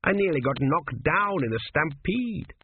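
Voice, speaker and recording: A man's voice: on the high side, animated, unhurried, pitched above the performer's own voice. A man voicing a sneering old swindler ancestor. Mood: gleeful. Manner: blunt, sneering, cheeky. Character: crude wiry old male ancestor, cheerful swindler. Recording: tinny phone-like sound, faint hiss.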